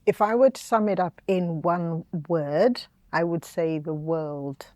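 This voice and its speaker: A woman talking to her grown child, recounting a family memory in an amused, relaxed storytelling way. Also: lowish voice, takes it slowly, no room sound, clean studio sound. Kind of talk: intimate interview conversation.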